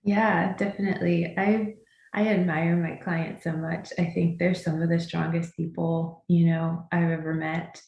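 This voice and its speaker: A woman's voice: fairly low pitch, little pitch movement, unhurried, creaky, darkish tone, roomy sound, highs cut off. A woman therapist speaking earnestly and reflectively on a panel, second-generation American with English as her first language. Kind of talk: panel discussion.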